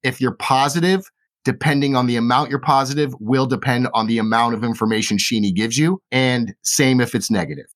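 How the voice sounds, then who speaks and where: A man acting as game master, explaining game rules quickly and plainly. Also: medium pitch, steady tone.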